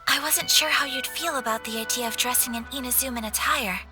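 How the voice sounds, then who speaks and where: A polite, refined young noblewoman, played by a woman in a game, with a high voice and formal, careful wording. Clear game music runs behind her. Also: a little fast, natural voice. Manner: formal, soft-spoken, courteous.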